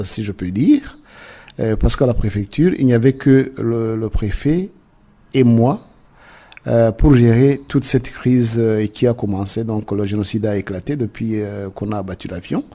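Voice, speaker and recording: An older man from Rwanda gives a slow, hesitant testimony, pausing often between phrases. His voice is mellow and dark. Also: fairly low pitch, steady tone. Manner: halting, many filler pauses. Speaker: former deputy prefect giving testimony. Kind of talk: recorded testimony.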